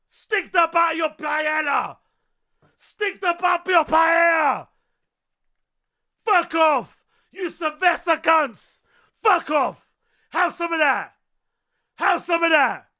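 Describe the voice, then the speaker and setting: A man reacting live to a football match, excitable and yelling and swearing at the screen. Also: extremely high pitch. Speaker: streamer host.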